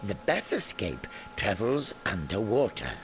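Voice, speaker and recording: An actor playing a grave, learned explorer of ancient lore in a video game, speaking in a measured, solemn storytelling manner. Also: male voice, lowish voice, wildly swooping pitch, slight background noise, heavily acted. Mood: grave, instructive. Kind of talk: video game character acting. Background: music.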